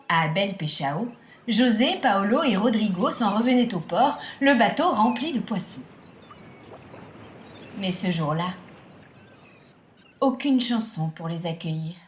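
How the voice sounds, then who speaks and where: Slow, high-voiced woman storyteller. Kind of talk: scripted children's tale narration. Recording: roomy echo, music behind.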